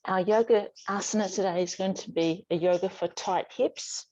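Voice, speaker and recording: A woman teaching a yoga class, giving soothing, unhurried guidance with pauses between instructions. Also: mid-range voice, steady tone, a little mellow, no room sound. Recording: dull, muffled lo-fi sound.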